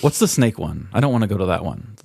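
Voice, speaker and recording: A man's voice: fairly low pitch, expressive, fast talker, creaky, darkish tone, no room sound, clean studio sound. An American man in his thirties from the Midwest, chatting casually as a podcast co-host in a rambling, candid way with slang and swearing. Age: 30s. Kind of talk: casual chat.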